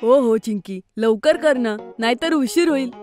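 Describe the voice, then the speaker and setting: A woman voicing a lively, excitable young boy in a cartoon, talking in quick exclamations. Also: fairly high pitch, soft background music, natural voice. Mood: excited.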